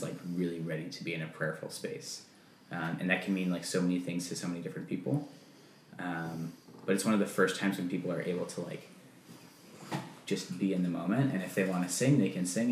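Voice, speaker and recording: Deep-voiced man, chatty, echoey room. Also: a little hiss or hum. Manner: chatty, filler-heavy, says "um" and "like" often. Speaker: camp music leader. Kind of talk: interview answers.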